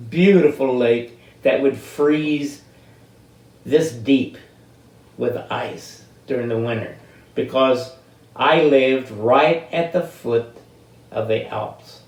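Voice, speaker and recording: An elderly man in his eighties from the United States telling personal stories in an unscripted, halting, deliberate way, with long pauses and drawn-out words. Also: medium pitch, a little mellow. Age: 80s. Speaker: interviewee telling life stories. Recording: roomy, distant microphone.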